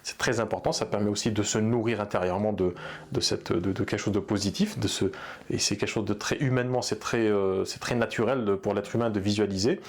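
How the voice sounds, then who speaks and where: A man with a low voice gives earnest, unscripted advice to fellow therapy practitioners. He talks hesitantly, stopping often to restart his sentences and fill pauses. He also speaks Arabic. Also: steady tone. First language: bilingual in Arabic. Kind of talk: unscripted advice talk to camera.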